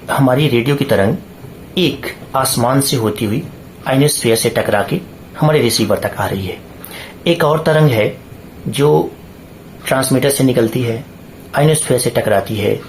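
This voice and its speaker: An Indian man presents a hobby tutorial at a mid-range pitch, setting out each point in order. His manner is didactic, often asking a question and then answering it. Some ambient noise sits behind his voice.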